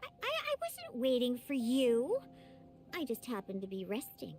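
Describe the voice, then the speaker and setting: A woman's voice: on the high side, wildly swooping pitch, measured pace, exaggerated voice. A woman voicing a playful young woman in a video game, in acted dialogue. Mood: coy. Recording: game audio with music behind. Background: game music.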